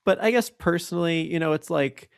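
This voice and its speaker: A man's voice: a little high, fairly quick, creaky, smooth, dry recording. Tactful man podcaster in a dry room. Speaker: podcast co-host. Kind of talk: casual podcast discussion.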